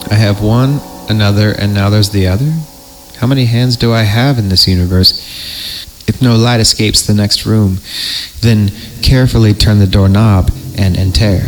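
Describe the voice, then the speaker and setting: A man with a fairly low voice reads horror in a theatrical, drawn-out storytelling style. He undercuts it with crude comic asides. The recording has clear noise behind him. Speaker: podcast host and reader. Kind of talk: dramatic horror story reading with joking asides. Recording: clearly noisy amateur recording.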